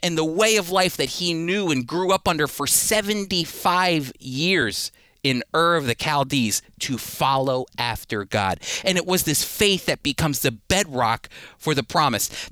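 A man's voice: a little high, pushed and bright, clear, resonant tone, dry recording. A man preaching as a Bible teacher, emphatic, posing rhetorical questions and repeating phrases for weight. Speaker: Bible teacher and series host. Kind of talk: sermon, expository preaching.

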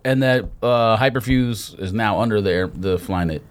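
A man's voice: fairly low pitch, moderate pace. A man on a podcast panel, debating casually with friends in a blunt, dismissive way.

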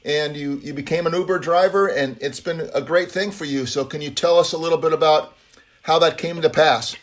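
A man's voice: on the high side, steady tone, a little fast. Affirming male podcast host. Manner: encouraging, frequent affirmations. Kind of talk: conversational interviewing.

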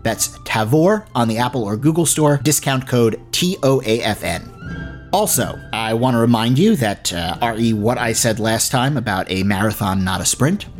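A man hosting a podcast, presenting and interviewing, somewhat halting when he speaks off the cuff. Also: medium pitch, expressive, soft background music. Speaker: podcast host.

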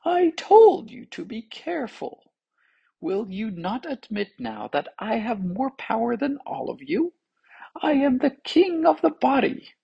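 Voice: medium pitch, very animated, unhurried, creaky, husky, muffled recording, pitched above the performer's own voice, full character voice. A storyteller voicing a boastful, vengeful talking tongue that gloats slyly in a children's tale. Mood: gloating.

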